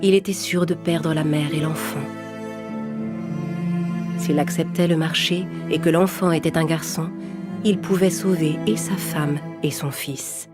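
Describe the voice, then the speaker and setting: A woman's voice: deep voice, fairly even intonation, unhurried, over loud music. A woman storyteller reading a scripted children's fairy tale as narration.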